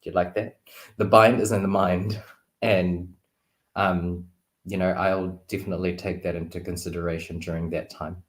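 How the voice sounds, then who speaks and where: A man talking casually and reflectively as a guest tarot reader, expressive and playful, on an online call from a roomy space. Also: low-pitched, moderate pace. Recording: roomy, echoey sound.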